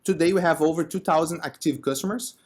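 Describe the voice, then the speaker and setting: A man's voice: fairly high pitch, quick pace. A man from Brazil with a Brazilian accent, explaining his business conversationally as an interview guest. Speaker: podcast guest, company co-founder.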